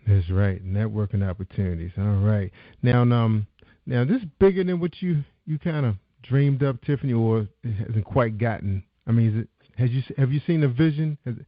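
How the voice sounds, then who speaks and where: A man's voice: mid-range voice, normal speed, no room sound. A man hosting an interview, chatty and loose, asking rambling questions with many restarts. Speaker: interview host. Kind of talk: casual interview talk. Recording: dull lo-fi dry sound.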